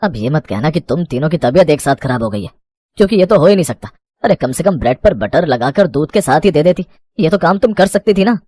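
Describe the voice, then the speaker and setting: An actor playing an exasperated, pleading young husband in an animated moral story, speaking in an emphatic, complaining way. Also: male voice, on the high side, a little fast.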